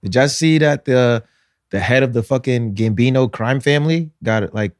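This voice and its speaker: A man's voice: medium pitch, moderate pace, dry recording, studio quality. A man co-hosting a podcast, chatting casually and joking with his friends. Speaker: podcast co-host. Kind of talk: casual chat.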